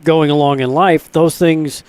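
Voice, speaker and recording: A man's voice: on the high side, fairly even intonation, a little slow, darkish tone, clean tone, no room sound. A man hosting a radio interview, asking questions in a friendly way with stammers and restarts. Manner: friendly, stammering, thinks aloud. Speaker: radio host.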